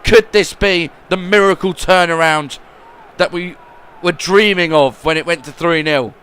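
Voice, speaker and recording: A man's voice: on the high side, unhurried, slight background noise. A man giving rambling voice-over commentary on his football video game career, often repeating words. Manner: rambling, repeats words, swings between gloom and excitement.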